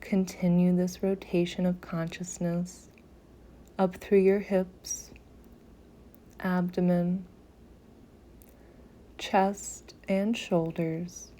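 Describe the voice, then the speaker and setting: A woman guiding a meditation in a soothing, gentle manner, softly breathy at phrase ends, with long pauses between short phrases. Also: fairly low pitch, little pitch movement. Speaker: yoga nidra meditation guide. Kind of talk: slow guided meditation.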